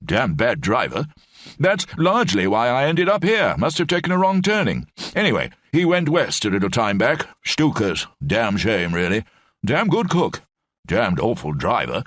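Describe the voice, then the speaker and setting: A man's voice: mid-range voice, wildly swooping pitch, moderate pace, a bit bright, no room sound, clean studio sound, voice pushed up. A man voicing an elderly, bumbling brigadier with clipped, rambling upper-class speech.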